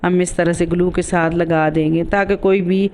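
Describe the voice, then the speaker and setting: A woman's voice: lowish voice, fairly even intonation, normal speed, a little mellow. A woman with a sore throat giving a casual voice-over for a DIY craft tutorial as the host.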